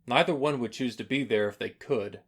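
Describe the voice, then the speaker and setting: A man reading scripted announcements as the host of a radio play show, in a polished, deliberate manner. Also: medium pitch, breathy edge.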